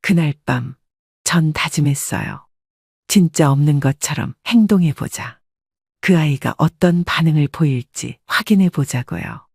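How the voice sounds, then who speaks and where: Husky older woman narrating a life story. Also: sits low, expressive, unhurried, a little mellow, no echo, studio quality. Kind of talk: first-person confessional scripted narration.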